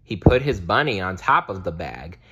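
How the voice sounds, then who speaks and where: A man narrating a children's storybook aloud in a deliberate, expressive read-aloud style. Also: low voice, breathy edge. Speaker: storybook reader.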